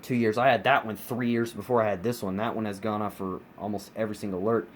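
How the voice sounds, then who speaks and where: A man's voice: fairly low pitch, steady tone, fast. Man casually reviewing gadgets, noisy home recording. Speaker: collector reviewing his weather radios. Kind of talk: casual product review vlog. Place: carpeted room at home. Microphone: handheld phone camera, speaker off screen.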